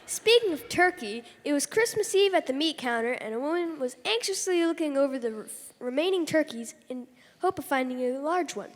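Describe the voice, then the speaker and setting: A corny comic husband , played by a woman, with a high voice, telling jokes in old-time radio banter. Long hall reverb surrounds the voice. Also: lively intonation, brisk. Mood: corny joking. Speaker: comedy double-act performer.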